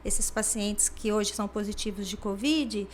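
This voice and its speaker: A woman's voice: mid-range voice, moderate pace, a little hiss or hum. A woman, a regional health official explaining things in an interview with many fillers. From Brazil. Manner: explanatory, many fillers like "né", acts out quoted people. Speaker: regional health superintendent, guest.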